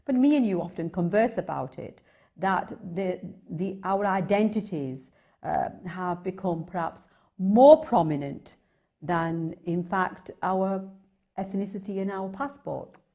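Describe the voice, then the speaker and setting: A female interviewer with an English accent speaks in a fairly low voice. She builds her questions slowly and wordily, pausing and hesitating as she goes. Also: mellow, muffled recording. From England. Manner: deliberate, wordy questioning with hesitations. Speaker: TV host, interviewer. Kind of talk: conversational interview.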